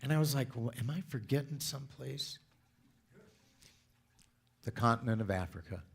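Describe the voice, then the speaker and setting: A man in his sixties from California with a deep voice, giving a chatty, playful talk to a congregation and drawing the audience in. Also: lively intonation, normal speed, vocal fry, breathy edge. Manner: chatty, playful storyteller who asks the audience for input. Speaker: speaker at a spiritual center.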